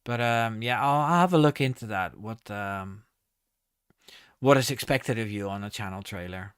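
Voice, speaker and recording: A man's voice: lowish voice, fairly bright tone, clean tone, no echo, studio quality. Man reading out stream chat. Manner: halting, many ums and restarts. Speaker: streamer hosting a live chat. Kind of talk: casual live-stream chat reading viewer comments.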